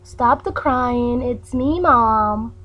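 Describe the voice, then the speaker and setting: A woman's voice: fairly high pitch, drawn-out words, highs cut off. A woman voicing a distracted, laid-back mother who talks in an offhand way, with a faint hum behind her.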